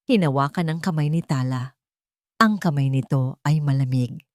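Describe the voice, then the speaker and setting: Low-voiced woman narrating dramatic fiction. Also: normal speed, no echo, studio quality. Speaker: story narrator. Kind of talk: scripted dramatic narration of a romance story.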